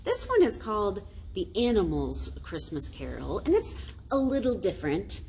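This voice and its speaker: An American woman, a pastor, reading a children's story aloud in a deliberate, explanatory way. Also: mid-range voice, wildly swooping pitch, a little mellow, rough recording. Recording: faint noise, ambient sound.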